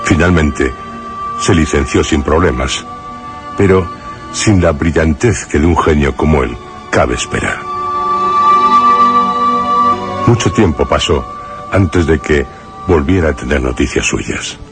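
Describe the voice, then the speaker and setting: An actor playing a grave male narrator in a suspense radio drama, with a measured, literary and solemn delivery. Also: a bass voice, animated, over loud music, exaggerated voice. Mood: grave, reflective. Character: grave male narrator recalling a troubled friend in suspense tale.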